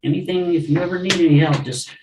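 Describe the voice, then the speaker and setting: A man's voice: high-pitched, steady tone, fast talker. Chatty man hosting a podcast, echoey room. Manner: enthusiastic, chatty, laughs often. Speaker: podcast host. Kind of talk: casual chat. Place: room with a live audience. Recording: roomy, from a distance, faint noise.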